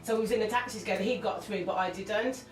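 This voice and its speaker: A woman's voice: medium pitch, fast. A woman, talking openly and emotionally as an interview guest, often laughing as she tells her story. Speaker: interview guest, singer and actress. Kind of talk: candid conversational interview. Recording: echoey room, mic far off, music underneath.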